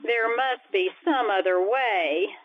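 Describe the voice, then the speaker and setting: A woman's voice: fairly high pitch, takes it slowly. A woman playing a wry, wisecracking schoolteacher with a dry, sardonic delivery in an old-time radio sitcom. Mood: wry, amused. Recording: tinny, band-limited radio-style recording.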